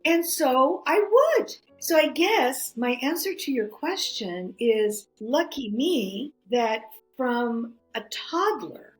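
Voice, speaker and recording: A middle-aged woman whose first language is Greek, a podcast guest telling stories in a lively way, full of restarts and "you know". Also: high-pitched, slow pace, hardly any pauses, soft background music, poor audio. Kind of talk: conversational storytelling.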